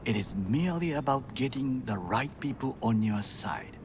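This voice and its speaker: An actor playing a stern, formal male corporate bodyguard who speaks in clipped, measured sentences and drops into quiet whispers. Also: medium pitch, animated, lightly acted. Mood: guarded. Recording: game audio with clear background noise.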